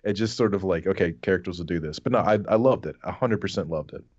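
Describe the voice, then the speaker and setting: A man's voice: sits low, fast, a little mellow, no room sound. A man chatting informally and enthusiastically about games as a podcast panelist, on a dull-sounding microphone. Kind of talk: casual chat about games.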